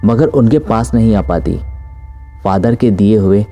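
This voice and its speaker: Guy narrating a horror story, dark soft-edged voice, bit low, faint music under it. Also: expressive, a little slow.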